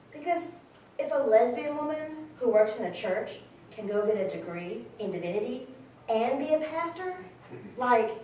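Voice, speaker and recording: An actress as an earnest woman telling a story on stage with deliberate emphasis. Her voice is fairly high, carried through a heavily echoing room to a distant mic. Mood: hopeful, emphatic. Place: stage in a reverberant room, recorded from afar. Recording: very echoey hall, far mic, faint noise.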